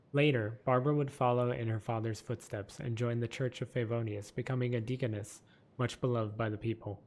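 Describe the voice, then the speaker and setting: Low-voiced man reading game lore. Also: darkish tone. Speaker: lore video narrator.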